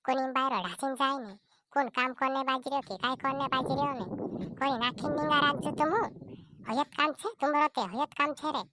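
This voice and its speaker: A woman's voice: sits high, steady tone, quick pace, noisy background, lightly acted. A woman playing a bickering village relative in cartoon comedy, repeating herself as she scolds. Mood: scolding.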